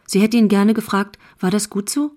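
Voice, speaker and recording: A woman's voice: medium pitch, fairly even intonation, moderate pace, darkish tone. A woman giving scripted literary narration as the narrator of a radio drama. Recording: music bed under the voice. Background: music.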